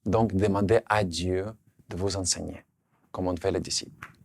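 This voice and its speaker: A man who speaks French, his language, giving an earnest, measured sermon. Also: low voice, steady tone, vocal fry, darkish tone, no echo, studio quality. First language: Russian. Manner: earnest, measured, slight restarts on words. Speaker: preacher.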